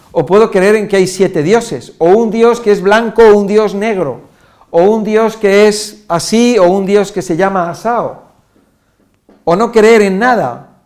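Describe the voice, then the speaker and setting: A man from Spain with a Castilian accent and a fairly high voice teaches in a relaxed, roundabout way. He stacks up examples, restarts his sentences and circles back to the point. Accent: Spanish from Spain. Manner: repetitive, listing examples with restarts and fillers. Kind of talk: informal Spanish lesson.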